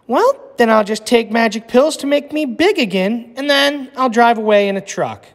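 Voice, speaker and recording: A plucky, unafraid young boy from a storybook, as a man reads him. He answers back with playful confidence in a high, lively voice. The room around the voice is echoey. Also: normal speed. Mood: confident, playful. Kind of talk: children's storybook read-aloud. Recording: echoey room with long reverb.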